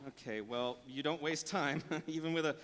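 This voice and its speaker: Man playing skeptical everyman son, high male voice, hesitant conversational delivery, radio drama, reverberant stage hall. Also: normal speed, background noise, close to an everyday voice. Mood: agreeable, hesitant. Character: Brian, affable skeptical adult son of a retired sportscaster. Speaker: actor. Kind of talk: live radio play acting. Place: large hall, live stage show.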